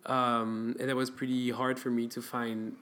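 A man's voice: mid-range voice, little pitch movement, moderate pace, fairly bright tone. A young man from France chatting casually as a podcast co-host, giving advice with many fillers and restarts. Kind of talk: casual chat.

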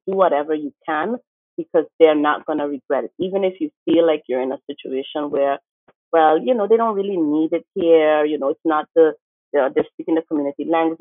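A woman's voice: deep voice, brisk, no room sound. A woman from St. Martin talks as an interview guest, earnest and encouraging, pressing her points with emphasis. Her voice comes through a thin, phone-like line on a remote call, dry with no room around it. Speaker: guest, teacher. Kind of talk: conversational interview.

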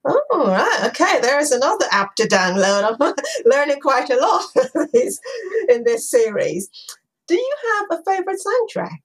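A woman hosting an interview podcast, encouraging and quick to laugh. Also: high-pitched, sing-song. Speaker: podcast host.

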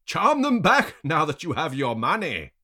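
A man's voice: low voice, lively intonation, takes it slowly, pushed and bright, heavily acted. A man voicing a cruel male captor in a story, commanding, with a taunting, snarling delivery. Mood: mocking.

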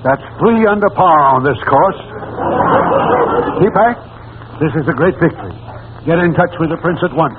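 Casting: man as excitable scheming court minister, high voice, vintage radio comedy, narrow crackly sound. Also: moderate pace, long pauses, over a noisy crowd, close to an everyday voice. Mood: pleased, conspiratorial. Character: scheming, fussy male royal minister, excitable and insistent. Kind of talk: old-time radio comedy acting. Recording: noisy, narrow-band old radio recording.

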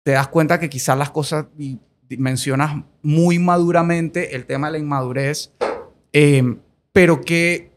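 Slightly high-voiced Colombian-born host. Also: male voice, fairly even intonation, a little slow. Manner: rambling, self-correcting questions. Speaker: podcast host. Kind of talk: casual podcast interview.

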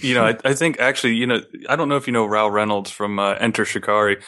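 A man's voice: on the low side, level tone, quick pace, pushed and bright. A man in his early thirties co-hosting a podcast and asking questions in casual chat. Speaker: podcast co-host.

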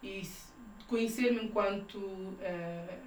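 A woman's voice: medium pitch, slow pace, darkish tone. A woman from São Tomé hosting a podcast in a casual, conversational interview style. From São Tomé, moved to Portugal at ten. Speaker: podcast host. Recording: echoey room, distant mic, faint noise.

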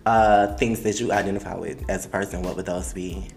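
A man's voice: deep voice, steady tone, brisk, gravelly, darkish tone, roomy sound, faint music behind. A young man from Miami hosting a casual podcast, chatty and gossipy, swearing freely. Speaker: podcast host.

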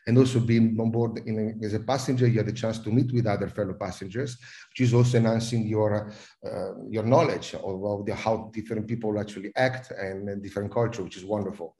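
A man's voice: on the low side, fairly even intonation, a little fast, warm tone. A middle-aged man with an Italian accent, a guest in a podcast interview who often hesitates and repeats words. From Italy. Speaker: guest, cruise industry advisor.

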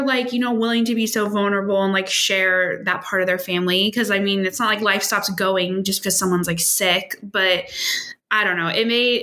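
A woman's voice: mid-range voice, bright, forceful tone. A woman co-hosting a podcast, chatting informally and feeling her way through her thoughts. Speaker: podcast co-host. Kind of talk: casual chat.

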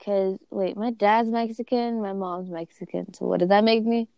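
A woman's voice: mid-range voice, steady tone, creaky, darkish tone, clear, resonant tone, no echo. A teenage girl chatting casually with friends and laughing often. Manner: giggly, quick to laugh. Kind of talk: casual chat.

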